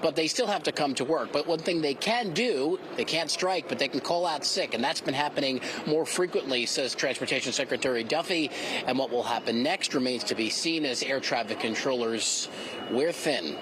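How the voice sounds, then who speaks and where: A man's voice: mid-range voice, normal speed. An American man delivering a live television news report from the field in a narrating reporter style. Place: airport, reporting on location. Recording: clearly noisy, vehicle sounds.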